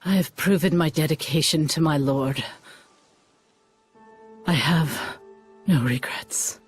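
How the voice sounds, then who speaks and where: An actress playing a stern, condescending mentor turned villain in a video game, speaking in measured, formal lines over music. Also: female voice, low voice. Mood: weary contempt. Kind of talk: dramatic video game acting. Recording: orchestral music behind the voice.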